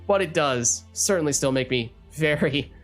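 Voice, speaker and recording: A man's voice: moderate pace, soft background music. Strained-voiced man commenting on a card game. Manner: analytical, lively swings in pitch. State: voice strained, recovering. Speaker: gaming YouTuber. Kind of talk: let's play commentary.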